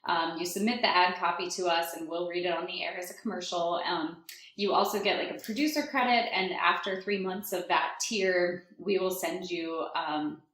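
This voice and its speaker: A woman's voice: lowish voice, fairly even intonation, normal speed, fairly bright tone. A playful, giggly woman co-hosting a casual podcast, chatting informally. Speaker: podcast co-host. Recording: roomy, echoey room sound.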